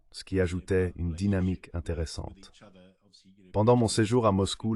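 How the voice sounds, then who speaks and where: Male interview dub, very deep register, measured analytical read. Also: darkish tone. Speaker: AI voice-over translating an interview guest. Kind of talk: dubbed translation of political analysis.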